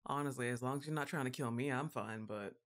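A woman's voice: a bass voice, quick pace. A woman playing a goth giantess witch girlfriend in an ASMR roleplay, exasperated but fond, with a casual, wry, hesitant delivery. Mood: reassuring, unsure.

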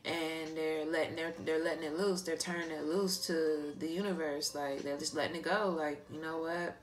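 A woman giving a casual, improvised card reading to an online audience, talking in an animated, slangy way and swearing freely. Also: sits low, normal speed. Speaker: tarot card reader, online host. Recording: music playing behind the voice. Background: pop and R&B songs playing.

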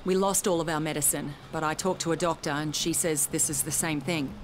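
A woman's voice: low voice, fairly even intonation, brisk, background noise, natural voice. An actress playing a tough, impatient woman survivor in a video game, blunt, direct and exasperated. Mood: urgent, businesslike. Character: tough, foul-mouthed, impatient female survivor. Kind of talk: video game cutscene acting.

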